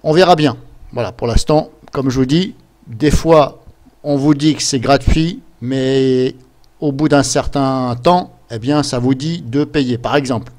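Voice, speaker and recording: A man presenting a casual screen-capture software tutorial, explaining things step by step in an informal, chatty way. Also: mid-range voice, a little slow. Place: voice-over recorded at a computer during screen capture.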